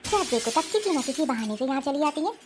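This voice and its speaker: Woman acting high-voiced, aggrieved young wife. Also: lively intonation, fast, a little hiss or hum. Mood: annoyed. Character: hurt, resolute young married woman refusing her in-laws. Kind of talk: amateur Hindi cartoon family melodrama.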